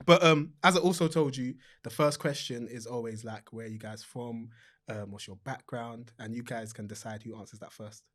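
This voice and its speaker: A man's voice: mid-range voice, fairly even intonation, moderate pace, fairly bright tone. Laid-back male podcast host. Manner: laid-back slangy, rambling intro. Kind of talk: casual podcast chat.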